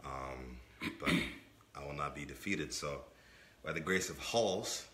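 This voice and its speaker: A young man with a very low, forceful voice co-hosts a casual podcast. He sounds slightly under the weather and repeats points for emphasis. The room around him is echoey. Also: unhurried. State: under the weather, voice not fully clear. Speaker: podcast co-host. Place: indoor room with brick and wood-panel wall.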